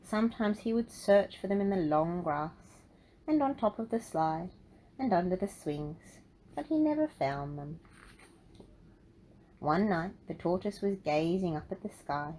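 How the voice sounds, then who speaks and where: A woman narrating a children's storybook in an expressive, deliberate way. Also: on the low side, low-quality recording. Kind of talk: storybook read-aloud for children.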